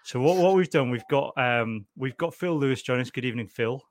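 A man's voice: medium pitch, animated, fairly quick, no room sound, clean studio sound. A middle-aged man hosting a casual podcast, jokey and chatty, often restarting his sentences. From Scotland. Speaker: podcast host.

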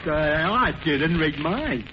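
A man playing a slow, dim-witted tavern regular with a bumbling, doltish drawl in an old radio comedy. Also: a little high, lively intonation. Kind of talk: 1940s radio comedy acting. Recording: noisy, muffled old radio recording.

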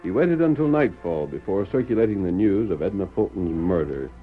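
A man's voice: sits low, animated, fairly quick, soft background music. A man reading dramatic scripted narration for an old-time radio western. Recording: muffled vintage radio recording.

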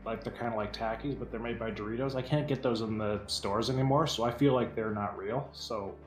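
A man's voice: mid-range voice, steady tone, fairly quick, soft background music. A man co-hosting a podcast in casual chat, with dry, wry quips. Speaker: podcast co-host. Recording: roomy echo on the voice.

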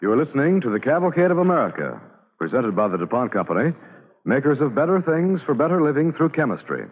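Animated male vintage radio announcer. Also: mid-range voice, fast talker, breathy edge. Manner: formal, polished. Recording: tinny vintage radio, music behind.

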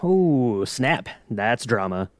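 A man's voice: mid-range voice, sing-song, drawn-out words, no echo. A man co-hosting a podcast in casual, joking chat. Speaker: podcast co-host.

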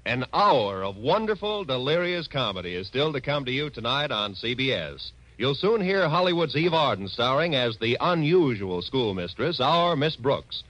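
A man's voice: mid-range voice, sing-song, normal speed, hardly any pauses, fairly bright tone, low-quality recording. A man with an American accent delivering formal, polished, scripted 1940s radio announcements.

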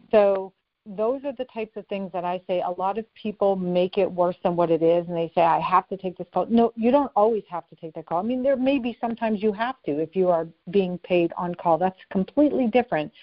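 Energetic middle-aged woman, lo-fi sound. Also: fairly low pitch. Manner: energetic, restarts sentences, repeats words. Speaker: guest. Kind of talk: casual interview talk. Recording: dull lo-fi recording.

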